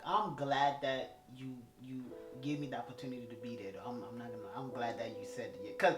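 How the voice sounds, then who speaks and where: Man, ghanaian accent, young adult, rambling, halting restarts, mid pitch, roomy sound. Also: steady tone. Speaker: co-host. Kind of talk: casual couple chat. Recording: echoey room with music behind.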